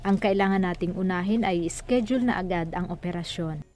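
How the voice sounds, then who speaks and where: A woman's voice: fairly low pitch, brisk, darkish tone, slight background noise, natural voice. An actress playing a serious, reassuring female doctor in a radio drama.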